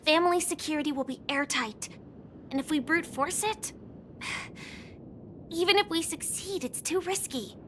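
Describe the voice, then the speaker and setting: A woman's voice: high voice, measured pace, long pauses, a bit bright, a little hiss or hum. An actress playing an earnest, determined young woman ally in a video game, polite and careful. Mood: concerned, determined. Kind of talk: sincere video game dialogue.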